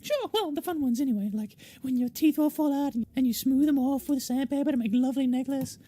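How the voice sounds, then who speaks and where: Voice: sits high, quick pace, warm tone, voice pushed up, lightly acted. A game player voicing a chirpy, morbidly whimsical young woman who rambles politely.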